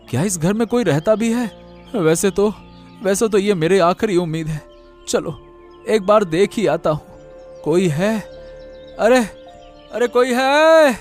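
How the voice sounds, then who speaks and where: A high-voiced young village man played by a male actor from a cartoon moral tale. He is desperate and pleading, repeating his words as he begs, with soft music underneath. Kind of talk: Hindi cartoon moral-story acting.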